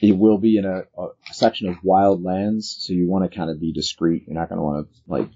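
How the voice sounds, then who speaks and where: A man's voice: sits low, level tone, fairly quick, mellow, dull audio. A man working as game master, narrating a tabletop role-playing game in an explanatory, instructive way.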